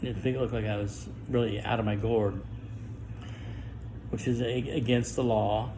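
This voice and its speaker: Gravelly, halting middle-aged man. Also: on the low side, little pitch movement, noisy background. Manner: guarded and halting, with stammered restarts and long pauses. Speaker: vlogger. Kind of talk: personal monologue to camera.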